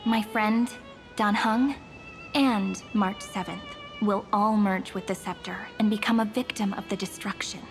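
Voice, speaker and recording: A woman's voice: mid-range voice, steady tone, measured pace, close to an everyday voice. A woman playing a poised, playful, knowing young woman in a video game, articulate and composed. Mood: grave. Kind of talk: video game story dub acting. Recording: music behind the voice.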